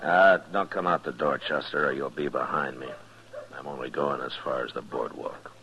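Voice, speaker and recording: A man's voice: extremely low pitch, steady tone, moderate pace, pushed and bright, slight background noise, heavily acted. A man acting a stern, weary, plain-spoken American frontier marshal in an old-time radio western, terse and grave. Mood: grim, weary. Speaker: actor and narrator in a radio western. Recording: dull vintage radio recording with music.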